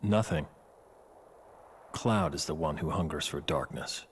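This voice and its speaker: A man acting a cold, calm, menacing villain in a video game cutscene, speaking in a deliberate, taunting way. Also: very deep voice, husky, exaggerated voice.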